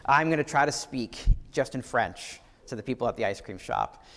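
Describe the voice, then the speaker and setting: A man's voice: mid-range voice, expressive, normal speed, fairly bright tone. A man with a Canadian accent preaches a sermon, emphatically repeating his key phrases. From Ontario, Canada. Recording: long hall reverb.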